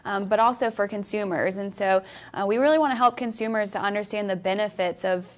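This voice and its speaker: A woman's voice: mid-range voice, fairly quick. Woman on a local tv interview, earnest, lots of ums and restarting sentences. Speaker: local food council guest.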